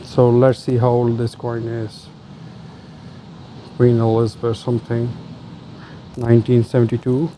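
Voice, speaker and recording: A man's voice: medium pitch, completely flat delivery, a little slow, pauses often, noisy background. A man hosting a treasure-hunt vlog, talking casually and off the cuff in short fragments as he sorts through finds. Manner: muses aloud in short fragments. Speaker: host of a storage locker treasure-hunt vlog. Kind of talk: casual unscripted commentary while sorting through finds.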